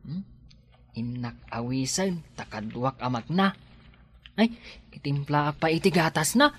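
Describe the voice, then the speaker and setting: A bright-toned young husband , played by a man in a radio drama. He talks to his wife tenderly and gets loud and urgent when things go wrong. His voice is crisp and forward. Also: on the high side, expressive, measured pace. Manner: doting, then loud and frantic. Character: young husband, a tricycle driver. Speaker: expectant father.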